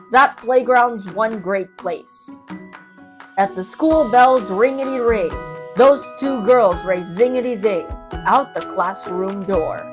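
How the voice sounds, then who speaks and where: A woman reading a children's story aloud as an expressive narrator, with dramatic, rhythmic and playful delivery. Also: a little high. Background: children's music.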